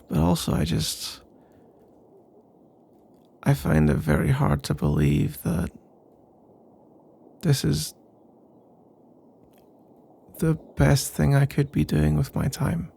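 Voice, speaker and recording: A man playing a nervous, pensive roommate in an intimate ASMR roleplay, speaking in a soft, husky, halting ramble. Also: a little high, expressive, heavily acted. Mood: pensive, weary. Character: nervous, pensive male roommate working up to a confession.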